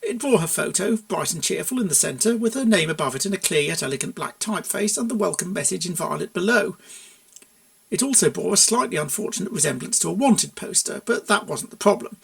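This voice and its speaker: A narrator reading a comic fan fiction story aloud in an expressive storybook style. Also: medium pitch, fairly quick, vocal fry.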